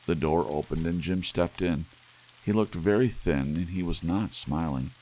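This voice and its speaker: A man narrating an audiobook story in a soft ASMR bedtime style. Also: low-pitched, moderate pace, low-quality recording. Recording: audible hiss under steady rain sounds. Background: soft rain.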